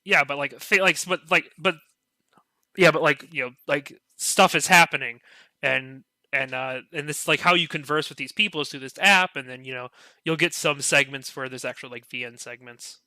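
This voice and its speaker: A man's voice: a little high, normal speed, sharp tone, no echo. A man in a casual podcast discussion, rambling and enthusiastic, leaning heavily on filler words. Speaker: podcast panelist.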